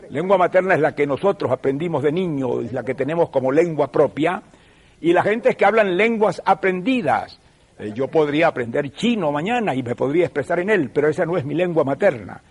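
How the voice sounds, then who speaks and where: Older Venezuelan man, slightly high voice. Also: a little mellow, slightly rough. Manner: measured, professorial, emphatic. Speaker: TV show host. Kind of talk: televised lecture.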